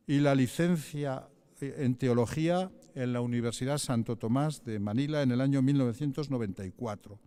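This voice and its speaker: A man presents a guest with a mellow, smooth voice at an ordinary pitch. He reads the introduction with small hesitations and corrects himself now and then. A long reverb from a large hall surrounds him. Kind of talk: formal introduction.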